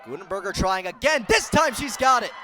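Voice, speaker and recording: A man's voice: high-pitched, big pitch swings, a little fast, pushed and bright, a faint crowd, slight background noise. A man in his twenties delivering sports broadcast narration and commentary as a reporter and announcer. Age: 20s.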